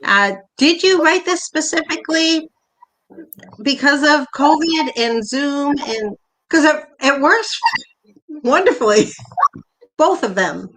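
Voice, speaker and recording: Woman, high voice, casual chat, panelist, video call. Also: animated, slow pace, long pauses, a bit bright, slight background noise, poor audio. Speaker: performer on a discussion panel.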